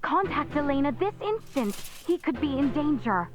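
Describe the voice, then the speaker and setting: A woman's voice: high-pitched, big pitch swings, moderate pace. A woman voicing an urgent, commanding young woman in a fantasy video game. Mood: urgent, worried.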